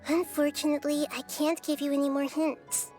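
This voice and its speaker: A woman voicing a childlike young goddess in a video game, composed, cryptic and gently instructive. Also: high voice, flat delivery, normal speed, faint music behind, lightly acted. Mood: calm, cryptic. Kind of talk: video game character dialogue.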